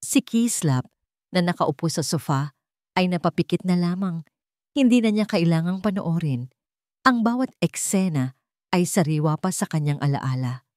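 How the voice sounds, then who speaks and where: A woman's voice: on the low side, a little mellow, no echo, studio quality. A woman narrator reading a dramatic scripted story.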